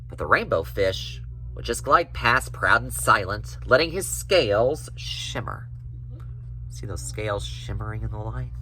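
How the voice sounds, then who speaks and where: Animated man reading a children's story. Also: fairly low pitch, unhurried, slight background noise, rough recording.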